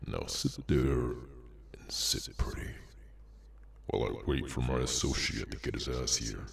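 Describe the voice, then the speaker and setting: Gravelly, abyss-deep cursed henchman. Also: whispered, distant mic, heavily acted. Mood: cold, dismissive. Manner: unhurried, dry, menacing. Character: cursed male henchman creature, menacing, bored and sardonic. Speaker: ASMR roleplay voice actor. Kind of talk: ASMR audio roleplay, slow dramatic monologue.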